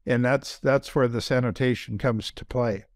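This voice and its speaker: A man's voice: medium pitch, fairly even intonation, normal speed, no room sound, studio quality. A man co-hosting a podcast, talking casually and rambling with many fillers.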